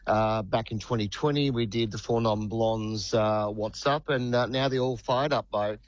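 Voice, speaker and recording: Low-voiced rock singer, relaxed. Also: male voice, moderate pace, dry recording, lo-fi sound. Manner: relaxed, rambling, many fillers, says "mate". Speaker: lead singer guest. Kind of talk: phone or studio interview.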